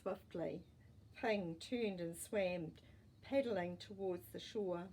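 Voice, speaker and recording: A woman storyteller reading a children's story expressively, with deliberate pauses and dramatic emphasis. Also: fairly low pitch, darkish tone, a little hiss or hum, rough recording. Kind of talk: expressive storybook narration with asides to young listeners.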